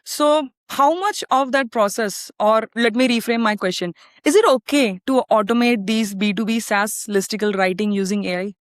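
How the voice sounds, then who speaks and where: A woman with an Indian accent who hosts a podcast and asks questions in a measured way. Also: a little high, dry recording, clean studio sound. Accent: Indian English. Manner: measured questioning, thorough explanations with restarts. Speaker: podcast host. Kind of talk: podcast interview.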